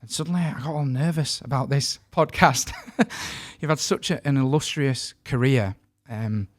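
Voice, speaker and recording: A man's voice: mid-range voice, normal speed, dry recording, studio quality. A man from the north of England with a northern English accent, hosting a podcast in a chatty, self-deprecating way with plenty of ums. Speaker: podcast host. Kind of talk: podcast interview.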